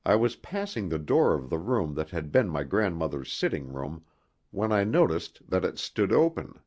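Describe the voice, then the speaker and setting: A man narrating a literary horror story as an audiobook, in a measured and expressive manner. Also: low voice.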